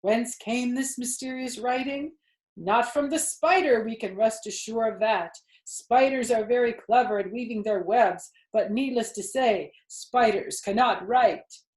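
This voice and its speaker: Formal male fair announcer on the loudspeaker, put on by a woman reading aloud, booming and stately, pitched up and slow. The reading voice carries a roomy echo. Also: natural voice. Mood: grand. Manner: loud, slow, formal public address delivery.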